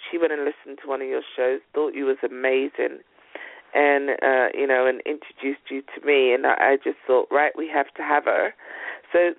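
Gravelly male host with a stuffy cold. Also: mid-range voice, no room sound. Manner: friendly, halting, many fillers and restarts. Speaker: radio show host. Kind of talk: casual radio interview. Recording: tinny phone-line sound.

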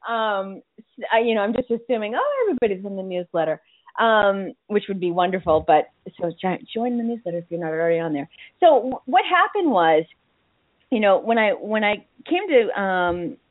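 A woman's voice: medium pitch, sing-song, normal speed, dry recording, narrow, tinny audio. A woman from Boston with a Boston accent, hosting a radio show in casual chat, laughing often and restarting her sentences. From Boston, USA.

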